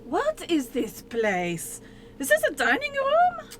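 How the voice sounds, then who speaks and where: A woman playing a prim, puzzled newcomer with a deliberate, questioning manner in an amateur radio play. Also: very high-pitched, huge pitch swings, exaggerated voice. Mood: bewildered. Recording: faintly noisy amateur recording.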